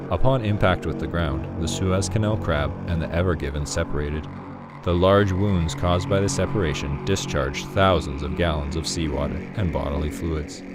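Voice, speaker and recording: Deep-voiced deadpan male narrator over loud music. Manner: deadpan, formal. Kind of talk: scripted narration of a mock documentary horror story.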